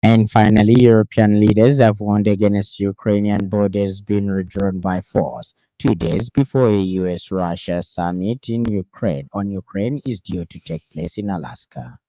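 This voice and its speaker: A man with a Zambian accent reading a scripted radio news bulletin in a formal, measured announcer's delivery. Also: lowish voice, mellow, no room sound, dull audio. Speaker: radio newsreader. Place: radio studio.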